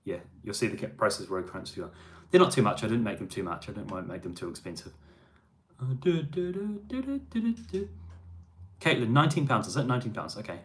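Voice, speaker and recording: A man's voice: on the low side, big pitch swings, fast. Easygoing man from New Zealand, chatting. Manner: chatty and easygoing, with frequent ums and restarts. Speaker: musician hosting a livestream. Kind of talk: casual livestream chat answering viewer questions.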